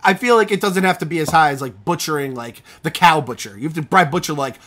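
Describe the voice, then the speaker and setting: Middle-aged man, bright, joking and profane. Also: a little high, fast talker. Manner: joking, heavy swearing. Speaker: podcast co-host. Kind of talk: casual podcast chat.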